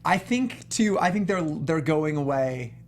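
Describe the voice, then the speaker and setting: A man hosting a casual video game panel, speaking in excitable, slangy, emphatic bursts. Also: a little high, a little slow. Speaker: show host. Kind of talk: casual panel chat about video games.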